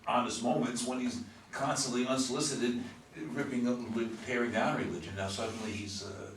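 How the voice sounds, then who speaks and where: Man, casual debate, mid pitch, distant mic, roomy echo. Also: fairly even intonation, a little fast. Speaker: participant in a play discussion group. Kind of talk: casual group discussion. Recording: echoey room, distant mic, background noise.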